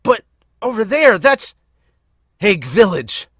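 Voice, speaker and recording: A man voicing a loud, eager, hot-blooded young hero in an amateur manga dub. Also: sits high, very animated, takes it slowly, no room sound, studio quality, voice pushed up, full character voice. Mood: excited, urgent. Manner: shouting, eager.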